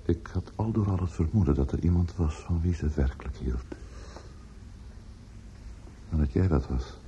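An actor in a radio play playing a defensive, grieving older man, earnest and halting, often repeating words. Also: sits low, mellow. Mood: shaken, subdued. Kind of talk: Dutch radio play acting. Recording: dull, noisy old radio recording.

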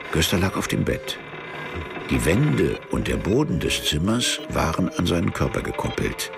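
A man narrating a literary radio drama in a measured, detached and deliberate manner. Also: extremely low pitch, vocal fry, heavily acted. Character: detached literary narrator of a drugged man's ordeal. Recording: music under the voice.

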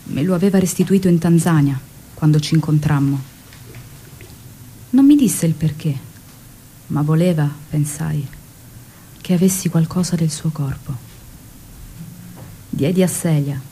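An actress playing a mature, grieving widow who remembers in a hushed, measured, solemn and tender theatrical monologue. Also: female voice, deep voice, a little hiss or hum, rough recording. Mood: solemn, tender grief.

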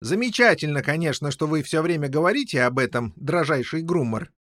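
An excitable, muddled old king, as a male narrator plays him. The voice is high and swoops about, rambling and stumbling through explanations in a storybook reading. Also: unhurried, dry recording, studio quality, full character voice. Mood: irritated. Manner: rambling, stammering, tacks on questioning interjections.

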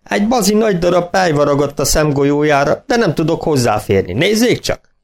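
A man playing a booming, jolly old farmer. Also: sing-song, creaky. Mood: insistent. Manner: loud, jolly, teasing. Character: jovial, chubby, boastful older farmer, always laughing.

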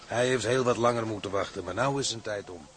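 An actor playing a loyal, gruff seaman in a radio drama, plain-spoken and calming. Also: fairly low pitch, brisk, a little hiss or hum, lightly acted. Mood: firm, calming.

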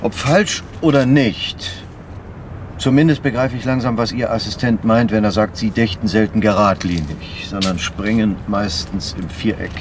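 A male actor playing a guarded, sceptical senior public prosecutor in a radio crime drama, measured, formal and dryly challenging. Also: on the low side, background noise, close to an everyday voice. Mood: dryly impressed. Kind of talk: naturalistic German radio crime drama acting.

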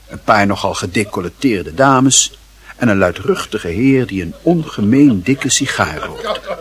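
An actor narrating a radio play as a wry storyteller, dry, ironic and measured. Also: male voice, on the low side, breathy edge, heavily acted.

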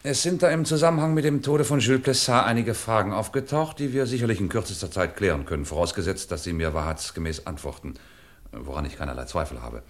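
An actor playing a composed, courteous police inspector who questions suspects formally and insistently in a radio crime drama. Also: male voice, lowish voice, lively intonation, fast talker, natural voice. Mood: courteous, controlled.

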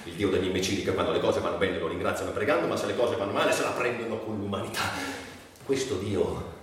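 A man's voice: on the low side, fairly even intonation, quick pace, natural voice. An actor playing a bitter, sardonic, tormented man confined by illness in a theatrical monologue, volatile between muttering and declaiming. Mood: bitter, raging. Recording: very echoey, distant, faint noise.

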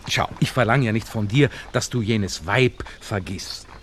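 An actor playing a protective, worldly young Viennese gentleman who lectures his friend genially and insistently in 1960s radio drama style. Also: fairly low pitch, measured pace. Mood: earnest, worried. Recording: noisy old radio play with outdoor ambience.

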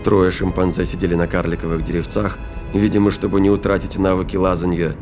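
Man, narrator, low pitch, scripted sci-fi audio drama narration, clear music bed. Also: level tone, fast talker.

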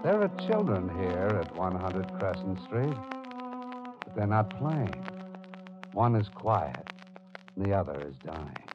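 A man playing a solemn, deliberate narrator in an old radio drama. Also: low voice, very animated, full character voice. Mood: solemn, dramatic. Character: solemn male narrator addressing the heroine as you. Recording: muffled old radio recording. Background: music.

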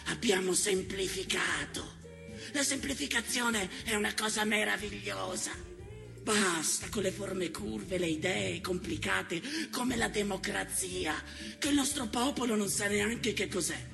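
The ghost of an old dictator general, pompous and self-justifying, in a theatrical monologue. His voice is pitched very high and full of gravelly creak. He is defensive and scornful, declaiming with emphasis over light music. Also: a little slow. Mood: agitated, self-justifying, then contemptuous.

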